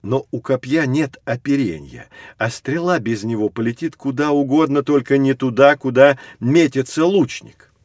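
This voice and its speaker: A man playing a knowledgeable guide in an educational audio drama, explaining things in a measured, instructive way. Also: medium pitch, lively intonation, a little mellow, no room sound, clean studio sound, lightly acted. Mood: explanatory. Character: knowledgeable adult guide leading children through prehistory.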